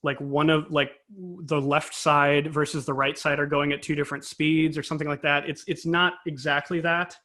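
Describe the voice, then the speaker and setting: A man with a fairly high voice speaks as an interview guest. He explains technical matters informally and analytically, taking care over exact wording. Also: little pitch movement, normal speed, hardly any pauses, sharp tone. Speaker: interview guest, composer and conductor.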